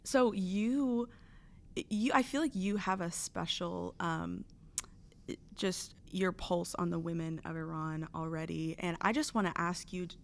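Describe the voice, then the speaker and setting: Female interview host, lower pitch, enthusiastic and encouraging. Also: unhurried. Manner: enthusiastic, frequent affirmations. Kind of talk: interview conversation.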